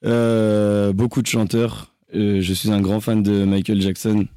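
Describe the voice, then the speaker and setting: A young man from France, a singer, answering interview questions in a hesitant, halting way with many restarts. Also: lowish voice, little pitch movement, dark tone, no echo, studio quality.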